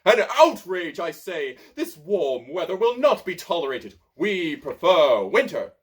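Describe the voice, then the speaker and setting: A man's voice: sits high, sing-song, a little slow, husky, voice pushed up, exaggerated voice. A man voicing a tall, thin male wizard who demands answers in outrage in a storybook. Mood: outraged.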